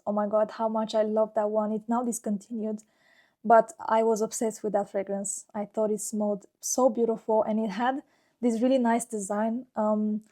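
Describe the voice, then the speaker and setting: A woman's voice: a little high, mellow. A woman, talking casually and fondly about her own life as a YouTuber, with many "like" fillers. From Romania. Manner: chatty and fond, with frequent "like" fillers. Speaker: fragrance YouTuber. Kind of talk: casual personal vlog talk.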